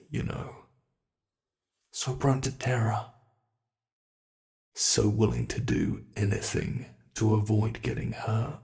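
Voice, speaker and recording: A British man voicing a sadistic male monster from under the bed, speaking slowly and menacingly in an ASMR horror roleplay, often dropping to whispers. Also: medium pitch, vocal fry, darkish tone. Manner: slow, taunting, menacing, drifting into whispers.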